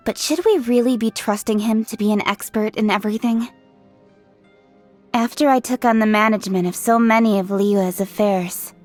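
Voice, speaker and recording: A woman voicing a brisk, self-assured young official. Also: mid-range voice, close to an everyday voice. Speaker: game character voice lines. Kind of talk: game voice-over.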